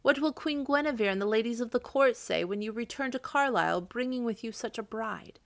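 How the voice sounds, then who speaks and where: A woman's voice: a little high, fairly quick, creaky, pitched above the performer's own voice. A woman reading aloud, voicing a humble, sorrowful lady who speaks plaintively.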